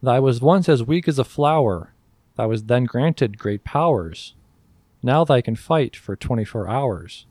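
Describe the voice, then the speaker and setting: A man's voice: medium pitch, animated, a little slow, dark tone, no room sound. A man hosting a casual podcast quiz, reading riddle clues in mock-archaic verse and refereeing the answers in a firm, teasing way.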